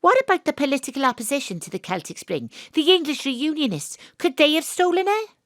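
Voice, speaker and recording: An actress playing a wry, world-weary private detective, narrating and talking in an audio drama. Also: female voice, high voice, big pitch swings, a little fast, dry recording, clean studio sound. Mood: inquisitive. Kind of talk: audio drama dialogue and noir-style narration.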